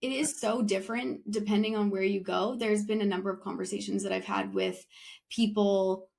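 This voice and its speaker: Chatty woman podcast host, friendly enthusiastic, mid pitch. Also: steady tone, normal speed, gravelly, darkish tone, slight background noise. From Canada. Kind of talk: casual interview chat.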